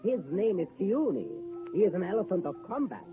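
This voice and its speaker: Brief: a sly pleading elephant keeper, high lilting voice, tinny old broadcast with music. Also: male voice, huge pitch swings, brisk, full character voice. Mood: haggling. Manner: pleading, theatrical haggling. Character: wily bargaining elephant owner, adult man. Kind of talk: 1940s radio acting.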